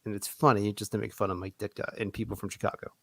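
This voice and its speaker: A man's voice: lowish voice, level tone, quick pace, no room sound, studio quality. A man co-hosting a podcast in casual chat, trading wry jokes and banter. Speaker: podcast co-host.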